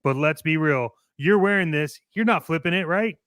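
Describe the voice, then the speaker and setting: A man's voice: a little high, normal speed, fairly bright tone, dry recording, studio quality. A man co-hosting a casual toy collecting show, speaking with enthusiastic, cocky, jokey hype. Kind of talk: casual radio show chat and playful ad reads.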